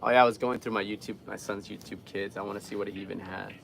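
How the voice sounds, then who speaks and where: A young man talks casually as a live streamer, in an earnest and rambling way. His voice sits a little low with a gravelly fry, and there is faint noise behind him. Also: steady tone, quick pace. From Guatemala. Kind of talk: casual live chat with viewers.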